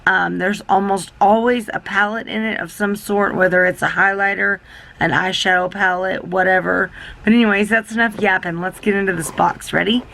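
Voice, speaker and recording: Gravelly-voiced woman vlogger, slightly sick. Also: medium pitch, steady tone, moderate pace, a bit bright. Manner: rambling, self-interrupting, enthusiastic. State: a little sick, losing her voice. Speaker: beauty vlogger. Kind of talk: chatty product unboxing.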